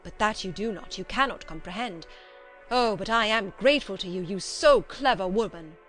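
A woman's voice: mid-range voice, animated, moderate pace, fairly bright tone, faint music behind, lightly acted. A woman voicing a kindly old foreign male doctor in an audiobook drama, emphatic and courtly, speaking formal broken English. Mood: effusive, solemn.

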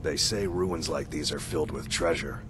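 A wary male adventurer from a fantasy video game, with an extremely deep voice. He speaks in measured, cautionary tones, with clear rumbling noise behind him. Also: steady tone.

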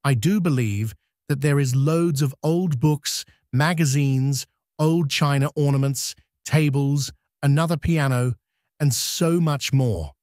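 A man's voice: medium pitch, slow, a little mellow, dry recording, clean studio sound. A man, giving an informal explanatory vlog voice-over. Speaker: urban exploration vlogger.

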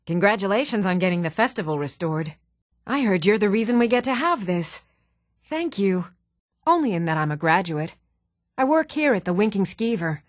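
An actress playing an even-toned fantasy townswoman in scripted video game dialogue, with a measured, composed delivery. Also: mid-range voice, no echo, clean studio sound, natural voice. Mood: friendly, matter-of-fact.

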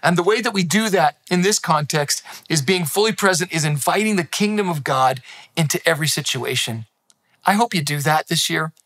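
A man's voice: fairly high pitch, a little fast. A man in his fifties, a pastor grown up in Thailand, giving an earnest, encouraging sermon from a living room. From grew up in Thailand, now in Canada. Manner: earnest, encouraging, animated hand gestures. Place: living room with Christmas decor, indoors. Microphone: close camera, seated.

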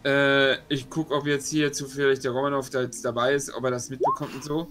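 A man's voice: mid-range voice, level tone, brisk, over loud music. A man taking part in a tabletop role-playing game, switching between in-character speech and casual chat.

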